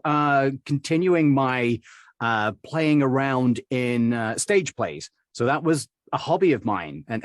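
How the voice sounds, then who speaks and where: A man's voice: medium pitch, no echo, studio quality. A middle-aged man with an English accent, a dialect coach telling his story as a guest in a casual interview, rambling with lots of fillers and small laughs. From England.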